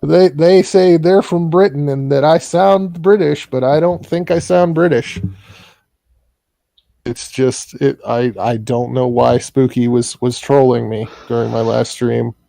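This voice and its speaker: A man's voice: medium pitch, steady tone, creaky, mellow, no echo. A man with an Iowa accent, an interview guest chatting casually, with frequent restarts. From Iowa, US Midwest. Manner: halting, restarts often. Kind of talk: casual chat.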